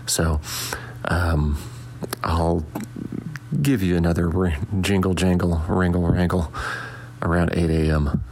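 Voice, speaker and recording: A tired man giving sleepy, hushed updates during an all-night charity livestream at home. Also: little pitch movement, slow, long pauses, slight background noise. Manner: sleepy, low-volume talk. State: exhausted from staying up all night. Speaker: charity stream host. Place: quiet house at night.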